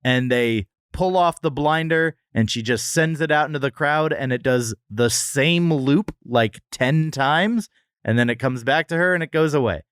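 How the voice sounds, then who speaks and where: A man's voice: fairly high pitch, moderate pace, a bit bright, smooth, no echo. Wry man chatting on a podcast, dry sound. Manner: wry, joking. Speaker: podcast co-host. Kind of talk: casual comedic review chat.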